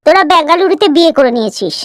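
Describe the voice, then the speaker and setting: A woman's voice: very high voice, animated, racing, slight background noise, voice pushed down. A woman voicing a snobbish, scolding middle-aged mother-in-law in a cartoon. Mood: chatty. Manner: haughty, scolding.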